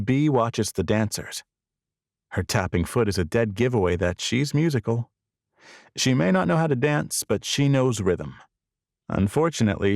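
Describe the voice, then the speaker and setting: A man's voice: medium pitch, vocal fry, a little mellow, no room sound, studio quality. A man narrating a first-person romance novel as an audiobook.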